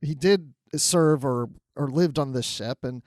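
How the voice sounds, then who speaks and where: A man's voice: medium pitch, a little slow, darkish tone, no echo. A man co-hosting a podcast, chatting casually about a TV show and wondering aloud, often quoting his own thoughts. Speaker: podcast co-host.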